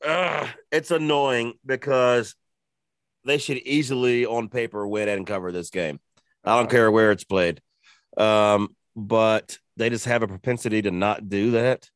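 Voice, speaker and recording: Co-host, male, low voice, dry close sound, loose profane banter about picks. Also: steady tone, a little slow, dry recording. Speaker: podcast co-host. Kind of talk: casual sports betting chat.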